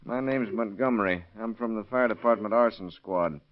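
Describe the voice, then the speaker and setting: An actor playing a calm arson investigator in old radio drama, questioning in a matter-of-fact way. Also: male voice, lowish voice, moderate pace, close to an everyday voice. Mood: businesslike. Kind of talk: 1930s radio acting. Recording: muffled vintage radio recording.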